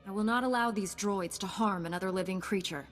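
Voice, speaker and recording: A woman's voice: medium pitch, a little fast, soft background music, close to an everyday voice. A woman playing a resolute, principled young knight in a video game, speaking in a composed and earnest way. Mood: determined. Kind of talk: video game cutscene acting.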